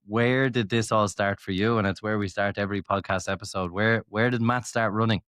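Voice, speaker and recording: A man's voice: fairly low pitch, fairly even intonation, brisk, fairly bright tone, dry recording, clean studio sound. A man hosting a podcast, asking questions in a casual, conversational interview style. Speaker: podcast host.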